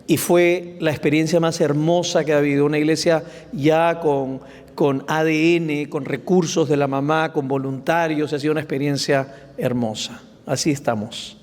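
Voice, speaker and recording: A man's voice: a little high, fairly even intonation, normal speed, darkish tone. A man, speaking as a church planter on a panel, telling his story earnestly. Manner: earnest storytelling, acts out prayers. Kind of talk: panel testimony. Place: large hall, panel on stage. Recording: reverberant hall.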